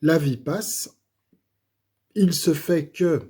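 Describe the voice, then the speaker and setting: A middle-aged man, slightly hoarse, gives a personal faith testimony in a halting, casual way with many fillers and restarts. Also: fairly high pitch, mellow.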